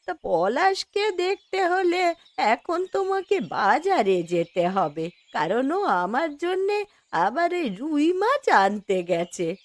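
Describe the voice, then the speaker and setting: A woman's voice: sits high, sing-song, slow, no room sound, exaggerated voice. A woman playing a kindly, frank elderly village mother in a cartoon folk tale, speaking in a familiar, admonishing way. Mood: frank, concerned.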